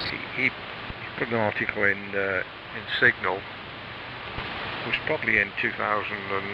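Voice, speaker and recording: A gravelly male radio operator chats casually over an AM shortwave link. He talks in a halting, technical ramble. The signal is dull and very noisy. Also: lowish voice. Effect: radio transmission. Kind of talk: casual ham radio chat. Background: static noise.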